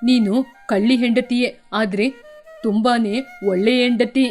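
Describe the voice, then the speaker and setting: A woman's voice: on the high side, fairly even intonation, unhurried, a little mellow, soft background music, pitched below the performer's own voice, natural voice. A woman voicing a proud, wealthy middle-aged man full of remorse, speaking in a heavy, regretful way. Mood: remorseful.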